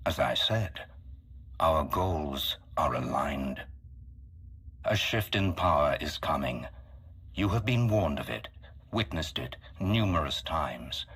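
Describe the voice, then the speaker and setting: A man voicing a solemn, calculating mastermind in a video game, speaking formally and deliberately with a husky voice. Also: sits low, fairly bright tone, exaggerated voice. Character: solemn, calculating male mastermind with grand galactic ambitions. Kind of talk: dramatic video game acting.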